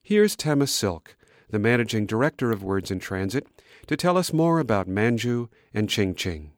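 Measured male public radio host. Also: fairly low pitch, big pitch swings, dry recording, clean studio sound. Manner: measured, announcer-like delivery. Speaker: radio executive producer and host. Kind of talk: scripted public radio introduction.

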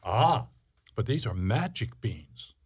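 A man playing a sly, funny little bean seller in a fairy-tale radio play, wheedling and flattering like a salesman. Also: fairly low pitch, slow, slightly rough, full character voice.

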